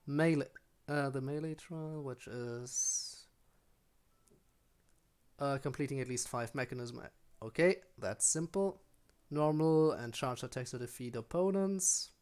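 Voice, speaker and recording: A man with a mid-pitched voice gives casual live commentary on a video game. He thinks out loud as he plays, in the offhand style of a streamer talking through each move. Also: measured pace, smooth. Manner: thinking aloud while playing.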